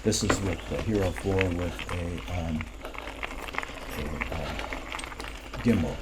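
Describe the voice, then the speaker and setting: Deep-voiced man in his sixties, rambling. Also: slow pace, very noisy. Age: 60s. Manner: relaxed, rambling, with many fillers. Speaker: mountain bike vlogger. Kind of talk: casual voice-over commentary on ride footage.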